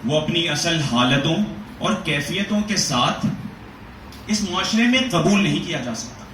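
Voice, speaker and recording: A man giving a measured, rhetorical dramatic reading of a literary prose essay, asking pointed questions as he goes. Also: fairly high pitch, lively intonation, a bit bright. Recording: echoey room, voice from a distance, faint noise.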